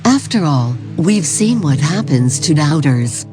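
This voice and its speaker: A performer playing a calm, formal cult recruitment narrator, reading a scripted instructional tape in a measured, solemn way. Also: mid-range voice. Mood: serene, ominous. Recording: 1990s tape-style narration over music. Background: music.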